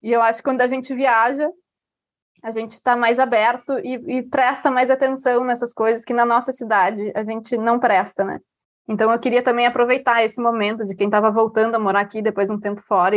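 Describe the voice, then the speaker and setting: Author guest, woman from Brazil, fairly high voice, dry close sound, rambling lively storyteller. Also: dry recording. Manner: chatty, many fillers, lively retelling. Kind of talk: casual interview chat.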